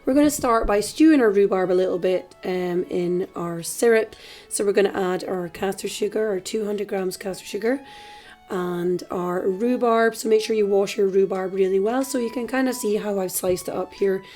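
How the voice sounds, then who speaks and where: Scottish woman walking thru a recipe, bit hoarse, mellow voice, soft music behind. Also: mid-range voice, normal speed. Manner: chatty, relaxed, with fillers. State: slightly hoarse, dry throat. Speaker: cooking channel host. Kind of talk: casual cooking tutorial voice-over.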